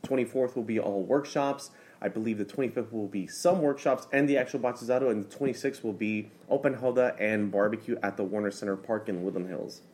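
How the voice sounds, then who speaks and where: A man co-hosting a casual podcast, relaxed and trailing off into a low murmur. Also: fairly quick, hardly any pauses, slight background noise. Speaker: podcast co-host. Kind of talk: casual chat.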